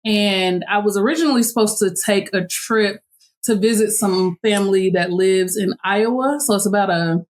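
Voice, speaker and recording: A woman in her late thirties hosts a podcast and chats in a relaxed, friendly way. Her voice sits at a middle pitch. She laughs easily as she talks. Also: normal speed. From Chicago. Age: 30s. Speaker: podcast host. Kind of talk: casual chat.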